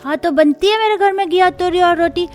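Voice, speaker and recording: A woman's voice: very high voice, a little fast. A woman voicing a sulky, defiant little schoolboy in a cartoon family story, with music behind. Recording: music under the voice.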